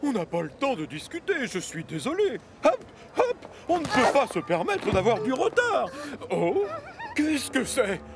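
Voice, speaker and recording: An actor playing a bossy, fussy railway controller in a children's cartoon, authoritative and easily flustered. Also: male voice, very high-pitched, wildly swooping pitch, measured pace, exaggerated voice. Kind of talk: dubbed children's cartoon acting. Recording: music behind the voice.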